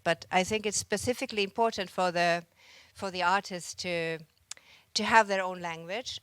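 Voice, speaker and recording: A Finnish-accented woman presents her art project at a conference, reflective and pausing to find her words. Her voice sits fairly low and sounds smooth and resonant. It is recorded close and dry, with little room sound. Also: a bit bright, no echo. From Finland. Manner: reflective, searching for words with frequent restarts. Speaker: visual artist giving a conference talk. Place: conference hall stage.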